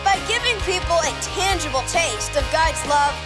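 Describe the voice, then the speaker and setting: A woman's voice: very high-pitched, animated, normal speed. A woman giving a scripted welcome announcement as an announcer. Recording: loud music over the voice. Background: loud music.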